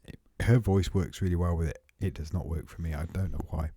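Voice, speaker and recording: A deep-voiced man co-hosts a tech podcast in a relaxed, thoughtful way, pausing with frequent ums. His voice is mellow and slightly husky, and it sits dry and close to the mic. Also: dry recording. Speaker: podcast co-host. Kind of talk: casual tech chat.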